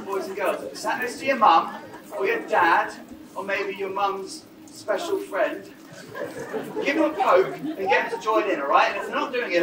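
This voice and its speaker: A performer playing Buttons's part, a cheeky, excitable young male pantomime sidekick, bantering playfully with a live audience in an exuberant style. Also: medium pitch, recorded from a distance, close to an everyday voice. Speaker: pantomime comic working the audience. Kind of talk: pantomime audience banter, shouted call and response. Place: on stage in a theatre. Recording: roomy theatre from afar, noisy audience. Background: live audience with children.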